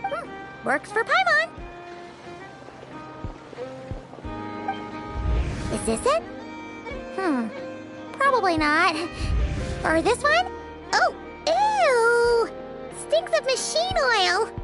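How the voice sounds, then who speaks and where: A woman voicing a tiny, childlike, excitable fairy companion who chatters and exclaims in a video game. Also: very high voice, slow pace, long pauses, over music, heavily acted. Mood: excited. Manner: childlike, exclamatory, refers to self by name.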